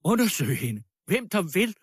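A man voicing a frantic, anguished sick widow in a storybook reading, hoarse and tearful. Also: high-pitched, lively intonation, no echo, clean studio sound, voice pushed up. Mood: furious. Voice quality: hoarse, plaintive. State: crying.

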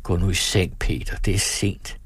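A man's voice: sits low, fairly bright tone, husky, no room sound. A man voicing a weary, bitter, commanding wife and mother in a story. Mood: soothing. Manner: terse, scornful, soothing by turns.

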